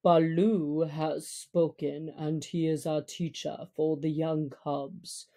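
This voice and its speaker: A stern leader of a wolf pack from a storybook, put on by a woman. The voice drops low and declaims slowly and solemnly, with steady command.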